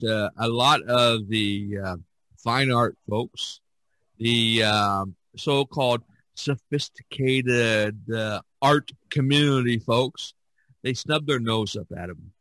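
A man hosting a podcast, talking casually in a halting, meandering way. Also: on the low side, bright, forceful tone, dry recording. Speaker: podcast host.

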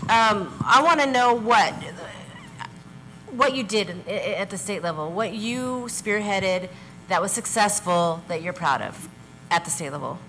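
A woman moderating a panel debate, asking probing, somewhat halting questions. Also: mid-range voice, animated, fairly bright tone, a little hiss or hum, low-quality recording.

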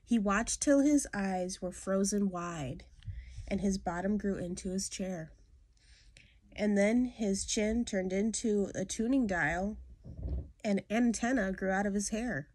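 A woman's voice: medium pitch, slow pace, a little mellow, dry recording. A young adult woman reading to children in an informal storyteller style. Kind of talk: informal read-aloud of children's poems. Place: small room indoors.

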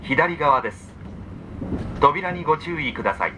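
Over clear train noise, a man reads a formal announcement through an onboard loudspeaker. He speaks slowly and deliberately, with lively intonation. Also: on the high side. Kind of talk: scripted recorded announcement. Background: train running noise.

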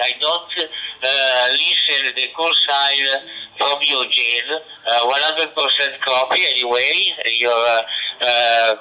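A man from northern Italy with an Italian accent, speaking English as a radio operator in formal, deliberate call exchanges over a digital two-way radio. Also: medium pitch, bright, forceful tone, rough recording. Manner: deliberate, spells call sign phonetically. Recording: digital walkie-talkie radio transmission.

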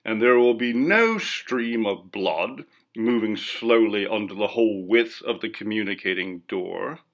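A man reading a ghost story as scripted narration, in a measured, formal, dramatic first-person delivery. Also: on the low side, gravelly, fairly bright tone. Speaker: audiobook reader.

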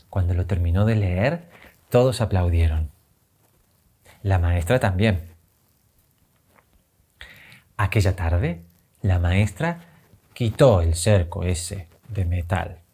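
A man in his thirties with an Argentine accent, telling a children's story expressively, with dramatic pauses and simple, deliberate phrasing. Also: on the low side, warm tone, slightly rough. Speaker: children's storyteller and channel host.

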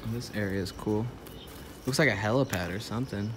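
A young man vlogging as he explores an abandoned building, talking casually and excitedly, with lots of fillers. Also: fairly low pitch, brisk, very noisy. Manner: excitable, filler-heavy, wide-eyed. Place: outdoors in an abandoned concrete building.

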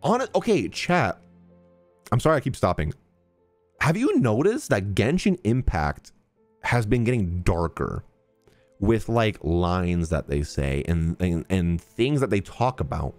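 Young male streamer, lively intonation, dry close sound, jokey casual commentary, faint game music. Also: on the low side, unhurried, no room sound. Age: young adult. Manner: animated, jokey. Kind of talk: casual live reaction commentary. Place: small indoor streaming room.

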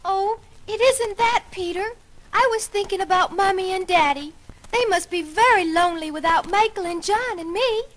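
A woman playing a sweet, caring young girl with a gentle manner in a children's story recording. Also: extremely high pitch, moderate pace. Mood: wistful.

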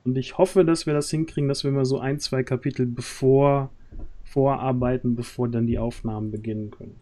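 A man's voice: medium pitch, fairly even intonation, fairly quick, warm tone. A man in his thirties hosting a casual livestream chat from a small room at home, speaking into a close microphone. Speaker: host of a role-play audio drama project stream. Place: small room at home, on a group video call. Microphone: close boom-arm studio mic, headset.

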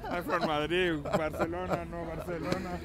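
A man's voice: on the high side, level tone, a little slow. Colombian man chatting amid a busy crowd. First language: Spanish. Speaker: football fan interviewee. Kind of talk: casual chat. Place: outdoors on a city bridge. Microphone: interviewer's handheld microphone. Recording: busy street crowd behind.

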